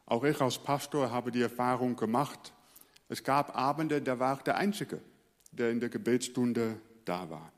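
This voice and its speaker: A male pastor from the Netherlands, a native Dutch speaker, preaching earnestly and letting his phrase endings fall quiet. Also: mid-range voice, moderate pace, in a large hall. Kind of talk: sermon.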